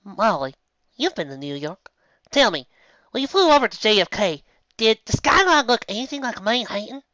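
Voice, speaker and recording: A man voicing an excitable, bold young woman in a fan storybook reading. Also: high voice, normal speed, no echo, muffled recording, voice pushed up, heavily acted. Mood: excited.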